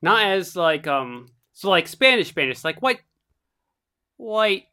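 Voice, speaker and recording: A young woman co-hosting a casual chat, animated and talkative, often laughing. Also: on the low side, slow. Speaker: podcast co-host.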